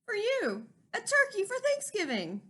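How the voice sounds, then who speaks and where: A woman's voice: very high voice, huge pitch swings, unhurried, sharp tone, voice pushed up, exaggerated voice. A woman voicing a kindly, eager male moose from a children's storybook, in an earnest, hearty and emphatic manner. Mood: proud.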